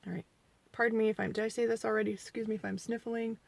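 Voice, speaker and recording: A woman's voice: on the high side, level tone, fast, gravelly, no room sound. Chatty sniffly woman in her forties. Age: 40s. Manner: chatty, rambling, self-deprecating; quieter and mumbling while applying makeup. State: sniffly from allergies. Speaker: beauty vlogger. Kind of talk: casual makeup review.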